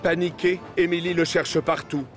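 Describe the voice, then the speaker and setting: A man narrating a children's cartoon story from a script, as an expressive storyteller. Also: on the high side, moderate pace. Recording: music behind the voice.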